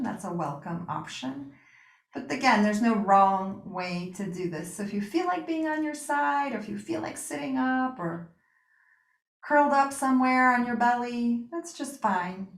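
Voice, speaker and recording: Lady doing guided yoga nidra, soothing and reassuring, medium pitch, pretty roomy echo on it. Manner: unhurried pauses, soothing reassurance. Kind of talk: guided meditation, gentle spoken instruction. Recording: roomy, echoing sound.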